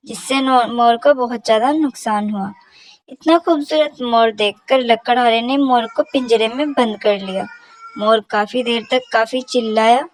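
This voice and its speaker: A woman's voice: a little high, a little hiss or hum, poor audio. A woman narrator reading a scripted children's story about animals.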